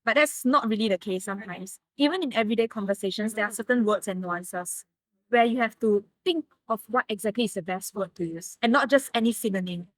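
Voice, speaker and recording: A young woman talks through her ideas in a thoughtful, measured way, like a guest in a relaxed interview. Her voice sits at an ordinary middle pitch. The sound is dry and close, with almost no room echo. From Malaysia. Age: young adult. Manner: thoughtful, explains in measured steps. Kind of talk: casual interview conversation.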